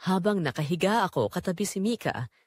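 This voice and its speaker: A woman's voice: lowish voice, animated, a little fast, a little mellow, slightly rough, no room sound. A woman playing a struggling single mother, weary but hopeful, narrating a confessional first-person audio drama to her listeners in an emotive way. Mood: tender, wistful.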